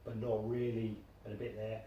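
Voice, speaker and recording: A man's voice: on the low side, flat delivery, moderate pace, highs cut off. Hesitant man in echoey room. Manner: hesitant, many fillers, thinks aloud. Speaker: co-host. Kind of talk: casual chat. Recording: echoey room, mic at a distance, faint noise.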